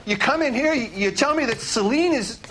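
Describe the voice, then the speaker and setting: A man playing a distraught, accused husband in a crime game, agitated and halting. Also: very high-pitched, expressive, a bit bright, a little hiss or hum. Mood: shocked, distraught. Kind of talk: period crime game acting.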